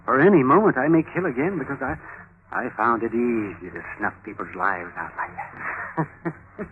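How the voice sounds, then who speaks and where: Male actor: meek would-be murderer, hesitant bluster, very animated, narrow 1950s radio-drama sound. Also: medium pitch, moderate pace, noisy background, natural voice. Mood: ominous bluster. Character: meek, polite little man boasting he is a brutal murderer.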